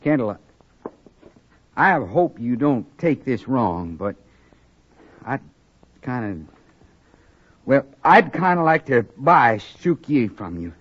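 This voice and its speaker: An actor playing an awkward, sincere frontier suitor in a radio western, hesitant and stammering, with an American Western accent. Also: male voice, medium pitch, very animated, slow pace, long pauses. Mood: bashful, hesitant. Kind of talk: 1950s radio western acting. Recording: dull vintage radio recording.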